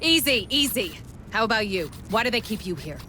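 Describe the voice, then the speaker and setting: A woman's voice: on the high side, very animated, a little fast, pushed and bright, a little hiss or hum. An actress playing a confident young female marine, direct and assertive. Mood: reassuring.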